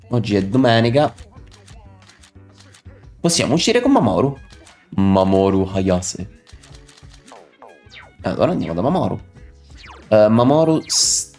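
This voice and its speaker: Animated man streaming a game. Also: mid-range voice. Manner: sometimes slurred articulation. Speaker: streamer reading game dialogue aloud. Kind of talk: live game stream commentary and dialogue reading. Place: indoor room, streaming setup. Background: game music.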